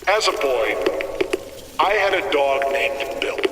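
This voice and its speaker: An actor playing a zealous elderly prophet and villainous ruler in a video game, preaching in solemn, grandiose, archaic oratory. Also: male voice, a little high, animated, slow pace, reverberant, long reverb tail, recorded from a distance, background noise. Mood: solemn, fervent. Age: older. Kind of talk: theatrical sermon-like oratory.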